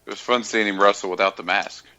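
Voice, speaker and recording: A man's voice: lowish voice, steady tone, fast, pushed and bright, dry recording. A man co-hosting a wrestling podcast, teasing his co-host in a dry, casual way. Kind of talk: casual chat. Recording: dull lo-fi podcast audio.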